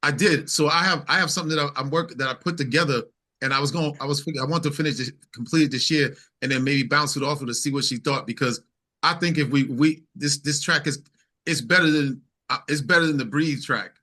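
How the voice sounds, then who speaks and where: A man's voice: on the high side, bright, forceful tone. A man from New York speaking casually as an interview guest, thinking aloud with repeated words. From New York, United States. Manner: halting, thinking aloud with repetitions. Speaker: singer-songwriter interview guest.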